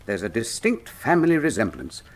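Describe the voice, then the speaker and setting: An actor playing a shrewd, urbane, wry detective in a radio drama, speaking formally and precisely with a theatrical, teasing manner. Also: male voice, on the low side, wildly swooping pitch, normal speed. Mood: wry musing. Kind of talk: full-cast radio drama acting.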